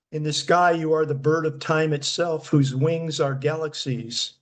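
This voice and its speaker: Slow, higher-voiced man reciting poetry. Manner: deliberate, measured phrasing. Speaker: poet reading own work.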